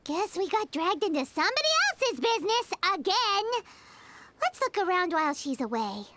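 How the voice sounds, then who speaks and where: A woman's voice: very high-pitched, sing-song, normal speed, very noisy. A woman voicing a small, childlike fairy companion in an anime game, whiny and easily exasperated. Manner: refers to self in third person, whiny.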